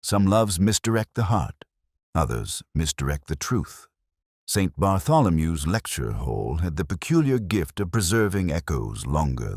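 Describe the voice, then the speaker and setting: An extremely low-pitched man reads literary detective fiction as a first-person narrator. His delivery is measured and formal, with a solemn manner and plenty of rise and fall in the pitch. The sound is dry and close. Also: no echo. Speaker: narrator of a detective mystery story.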